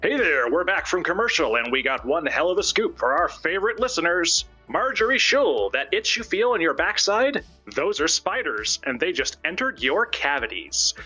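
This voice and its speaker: A bright, fairly high-voiced morning radio host played by a man taunts with showy fake cheer. He sounds gleefully mean, with music underneath. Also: big pitch swings, normal speed, husky, highs cut off, exaggerated voice. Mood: gleeful, taunting. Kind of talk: morning radio show reading.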